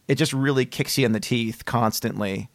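A man's voice: medium pitch, measured pace, vocal fry, no echo. A man chatting casually as a podcast host interviewing a guest.

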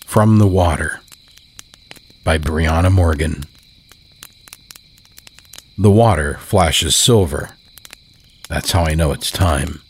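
A man narrating scripted horror fiction as a storyteller, solemn and measured. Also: extremely low pitch, breathy edge. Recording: faint night ambience with crickets.

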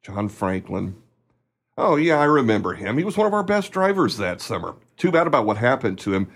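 An actor playing a gruff, evasive, blustering manager of an ice cream company in a radio play. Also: male voice, mid-range voice, big pitch swings, fairly quick. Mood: jovial, blustering.

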